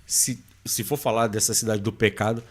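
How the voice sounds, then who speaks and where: A man's voice: on the low side, flat delivery, normal speed. A man with a Brazilian accent chatting casually as a podcast host. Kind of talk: casual chat.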